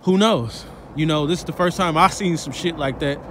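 A man's voice: on the high side, normal speed. Man preaching while walking outdoors. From Chicago, United States. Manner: preachy, street-slang, repeats "all right?" and "man". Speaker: street preacher vlogger. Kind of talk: walking vlog commentary. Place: city sidewalk outdoors, walking. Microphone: phone held while walking. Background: faint traffic and street ambience.

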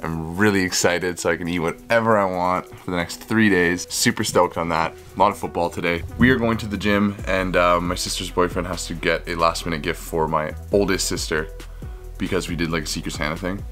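A man's voice: low-pitched, fairly even intonation, brisk, a bit bright. A man in his twenties with a Canadian accent, chatting casually to a handheld camera indoors as a vlogger. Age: 20s. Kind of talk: casual vlog talk to camera. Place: small room at home, indoors. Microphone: camera held at arm's length. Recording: music behind the voice.

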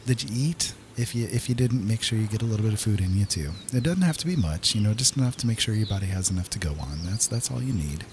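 A man's voice: on the low side, animated, quick pace, few pauses, darkish tone, slight background noise. A man giving casual gameplay commentary as the host of a gaming video, talking directly to viewers.

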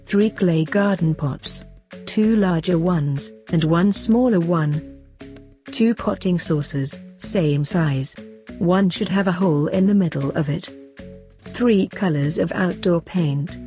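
Bright-voiced woman narrating a tutorial. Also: on the low side. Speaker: host and narrator of a craft tutorial. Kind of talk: scripted instructional narration. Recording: voice over an instrumental music bed. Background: instrumental pop music.

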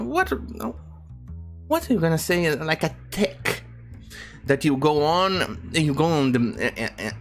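A man in his thirties, not a native English speaker, hosting an indignant, rambling commentary video from home. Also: a little high. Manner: indignant, rambling, many fillers. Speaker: commentary channel host. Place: home room with bookshelves.

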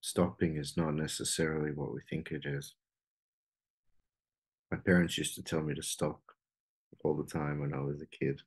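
A man with an extremely low voice guides a meditation. His delivery is slow and fairly flat, with long pauses between phrases. The tone is slightly husky rather than clear.

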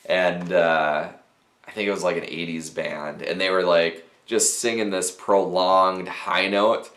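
Low-voiced guy in his 30s chatting about pa gear, lots of "you know" and restarts, echoey little office. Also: fairly even intonation, unhurried, fairly bright tone. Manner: conversational, technical, frequent fillers and restarts. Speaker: audio gear reviewer. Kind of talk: casual gear review to camera. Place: small cluttered office with speakers and mixers. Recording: roomy small-office echo.

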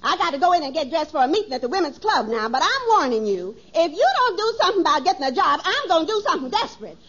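An actress playing an exasperated, scolding wife with nagging, ungrammatical talk in a radio sitcom. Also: female voice, very high-pitched, big pitch swings, fast talker, fairly bright tone, exaggerated voice. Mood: threatening. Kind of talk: 1950s radio sitcom acting. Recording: dull old radio recording, faint noise.

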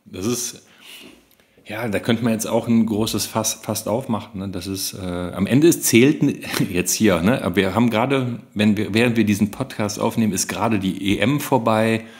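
A man's voice: on the low side, moderate pace. A man co-hosting a podcast in a casual discussion. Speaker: podcast co-host.

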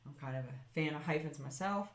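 A woman's voice: sits low, brisk, reverberant. A woman hosting a foraging channel, narrating a plant slideshow in a chatty, meandering way with fillers and self-corrections. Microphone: voice-over over slides.

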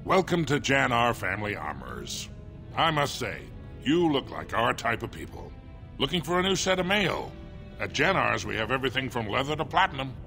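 A man voicing a polite, salesmanlike dwarf armorer in a video game, with measured patter. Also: mid-range voice, very animated, creaky, pushed and bright, faint music behind, full character voice. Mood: welcoming, salesy. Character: salesmanlike, cautious male dwarf armorer and merchant. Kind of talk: video game dialogue acting.

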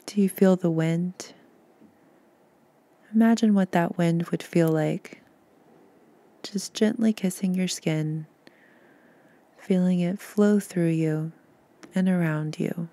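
A woman guiding a meditation in a soft, soothing, gentle voice, breathy at times, with unhurried pauses. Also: lowish voice, steady tone, dry recording. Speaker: podcast host and meditation guide. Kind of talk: guided meditation narration.

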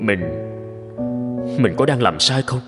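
A man's voice: medium pitch, animated, takes it slowly, over loud music. A man voicing an earnest, humble young office worker in a cartoon, polite and a little hesitant. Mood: apologetic, troubled. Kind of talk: cartoon dubbing.

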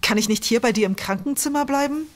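Woman acting an anxious, higher-voiced scientist. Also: quick pace, close to an everyday voice. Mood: anxious, pleading. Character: anxious female oceanographer seeking company. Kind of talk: German audio drama acting.

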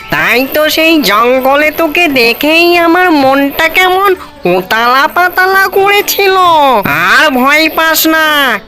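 A woman voicing a protective ghost witch mother in a cartoon fairy tale, scolding and coaxing with drawn-out, repeated phrases. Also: high-pitched, sharp tone, heavily acted. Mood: tender. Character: ghost witch mother, fiercely protective, menacing yet tender.

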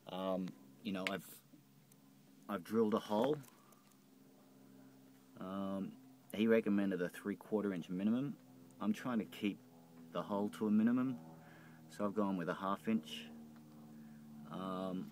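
A man's voice: sits low, darkish tone, slight background noise. A middle-aged man talking casually through a boat door repair, hesitant with many ums and restarts, recorded on a handheld phone. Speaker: boat owner showing a repair. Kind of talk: casual how-to walkthrough. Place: on a boat cockpit, indoors at the door track. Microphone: handheld phone camera.

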